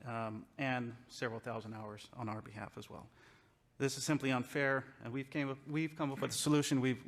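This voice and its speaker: A man delivers formal public testimony in a medium-pitched voice. He speaks as a law enforcement representative, setting out his argument point by point and leaning on the words that matter. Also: a bit bright.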